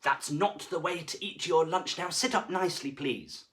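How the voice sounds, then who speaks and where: A man voicing a male deputy headteacher from a children's storybook, speaking in a deliberate, emphatic way. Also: on the high side, fairly bright tone, far from the mic, voice pushed up, natural voice. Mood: scolding. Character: stern, pompous male deputy headteacher, softening later.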